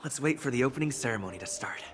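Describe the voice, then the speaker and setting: An actor playing a calm, caring young male traveler thinking aloud in a low-key, reflective way in a game. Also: rapid-fire, over music, close to an everyday voice. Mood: calm, musing. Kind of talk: game voice acting, quiet monologue.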